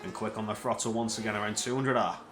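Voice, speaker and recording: A man doing solo live-stream race commentary, energetic play-by-play that climbs in pitch at tense moments, with chatty asides in quiet spells. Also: fast talker, roomy sound, faint music behind.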